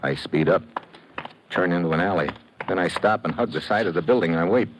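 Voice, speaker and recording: An actor playing a tough, wry American investigator in clipped, slangy mid-century radio detective style. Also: male voice, sits low, a little fast. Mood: tense narrating. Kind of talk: 1940s-50s radio detective acting. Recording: noisy old radio broadcast recording.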